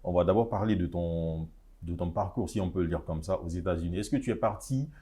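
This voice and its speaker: A man hosts an interview on a studio set. He speaks in a very low, dark, mellow voice with an easygoing, relaxed manner. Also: brisk. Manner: easygoing, with frequent backchannel murmurs. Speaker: talk show host.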